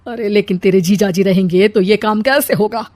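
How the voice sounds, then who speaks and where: A woman's voice: mid-range voice, steady tone, normal speed, a little mellow, close to an everyday voice. A woman playing a bitter, plotting older mother-in-law in a cartoon story, whining and scolding dramatically. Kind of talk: Hindi cartoon story acting.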